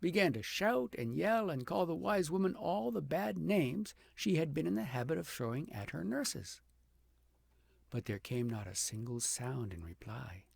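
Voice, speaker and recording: Male audiobook reader, husky and creaky, dramatic fairy-tale delivery, close dry sound. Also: a little high, expressive, normal speed, no room sound. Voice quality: gravelly, slightly husky. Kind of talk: expressive storybook narration of a fairy tale.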